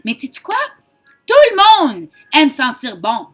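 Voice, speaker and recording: A woman from Ontario with a Franco-Ontarian French accent, giving a chatty, informal sales pitch and mixing in English words. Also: very animated, unhurried, a bit bright. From Ontario, Canada. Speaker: direct-sales consultant. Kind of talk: casual sales pitch to camera.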